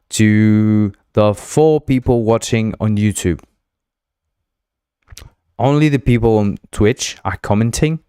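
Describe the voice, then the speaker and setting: A man with a low, smooth, resonant voice, and Spanish is his first language. He chats casually through a live coding stream, thinks out loud, repeats phrases and jokes with his viewers. The sound is close and dry, with no room around it. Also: no room sound. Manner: chatty, thinks aloud, repeats himself, playful teasing of the chat. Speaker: game developer streaming live. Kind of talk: casual livestream coding chat.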